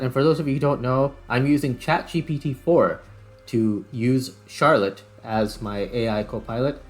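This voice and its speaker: Male video game host, casual chatty commentary, music behind. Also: medium pitch. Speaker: host of a gaming video series.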